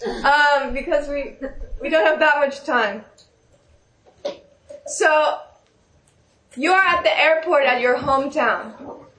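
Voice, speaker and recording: A woman's voice: sits high, slow, pauses often, bright, forceful tone, distant mic, slight background noise. A woman teaching meditation with a strong, carrying voice, guiding listeners through visualizations and often checking in with them. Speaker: spiritual teacher. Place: large echoey meditation hall. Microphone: speaking without a microphone. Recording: roomy hall echo, voice off-mic.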